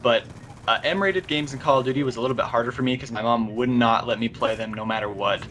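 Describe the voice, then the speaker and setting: A man in his late teens talks casually as a guest on a gaming chat. His voice is average in pitch and he halts to find his phrasing, with a slightly noisy voice-chat recording. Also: fast talker, a bit bright. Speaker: guest on a gaming video series. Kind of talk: casual chat over a call.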